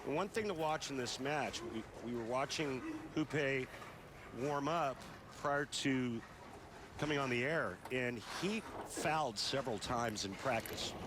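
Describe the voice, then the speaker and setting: A man's voice: mid-range voice, a little slow, background noise. A man giving color commentary on a televised bowling match, explaining technique in an easygoing, analytical way.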